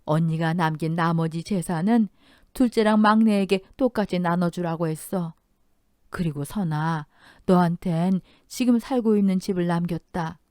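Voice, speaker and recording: A woman voicing an older, sorrowful and caring aunt in a radio story, speaking earnestly and gently to her family. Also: on the low side, normal speed, dry recording, clean studio sound, lightly acted. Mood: solemn.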